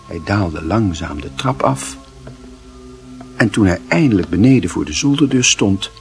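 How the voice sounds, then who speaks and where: A man's voice: lowish voice, expressive, unhurried, a little mellow, faint music behind. A man reading literary fiction as a narrator, in a dramatic, scripted storytelling style.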